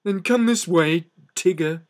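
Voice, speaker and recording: A man voicing a gloomy, sardonic old donkey in a storybook reading, slow, deadpan and mournful. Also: high voice, pitched above the performer's own voice. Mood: gloomy, resigned.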